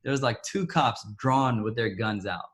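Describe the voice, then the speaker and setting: A man from Ethiopia, interviewed and telling a personal story in a halting way, with frequent restarts and soft, trailing asides. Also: mid-range voice, slight background noise.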